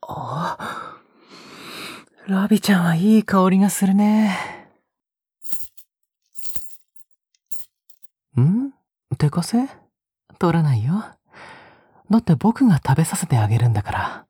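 A male actor playing a possessive young captor in an intimate audio drama, coaxing softly and often slipping into a whisper. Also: fairly high pitch, very animated, moderate pace, long pauses, no room sound, studio quality, full character voice. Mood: possessive, menacing tenderness. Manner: soft, coaxing, often dropping to a breathy whisper. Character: possessive, sickly-sweet young male captor doting on a pet-like girl. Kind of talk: intimate audio drama acting, spoken to the listener.

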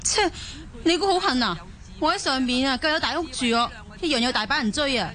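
A woman's voice: high-pitched, expressive, brisk, pushed and bright. A woman acting a discontented, outspoken young wife and mother from the mainland in a Cantonese radio drama. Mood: indignant. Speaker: voice actor in an audio drama.

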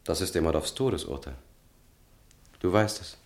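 Low-voiced courteous young student. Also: male voice, darkish tone. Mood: grave, hesitant. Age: young adult. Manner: courteous, measured, deliberate. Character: polite, calculating young student guarding the family. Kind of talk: German radio drama acting.

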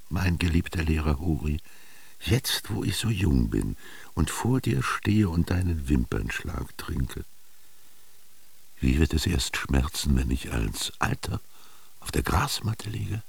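An actor in a radio drama playing a melancholy, yearning ancient Egyptian man recalling his youth, speaking lyrically in a gravelly, husky voice with lingering pauses. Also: low-pitched, full character voice. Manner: lyrical, brooding, with rhetorical questions and lingering pauses. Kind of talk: literary radio drama monologue.

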